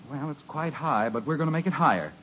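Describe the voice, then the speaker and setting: An actor playing a formal, deferential chauffeur, in an old radio comedy. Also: male voice, fairly high pitch, animated, quick pace, a bit bright, a little hiss or hum. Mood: apologetic. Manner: stiff, deferential. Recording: muffled old radio broadcast.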